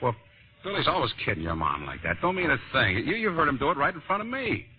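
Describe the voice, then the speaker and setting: An actor playing a down-and-out vaudeville father, proud and bluffing, gruff but fond, with slangy, stammering bluster in an old radio drama. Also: on the high side, sing-song, fast talker. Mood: brushing off, soothing. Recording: dull old radio broadcast, music behind.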